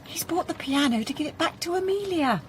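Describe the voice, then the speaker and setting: A knowing young woman in a radio drama. She is witty and teasing, with a swooping, expressive delivery. Faint noise sits in the recording. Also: high voice, a little fast. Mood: knowing, amused. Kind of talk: period radio drama acting.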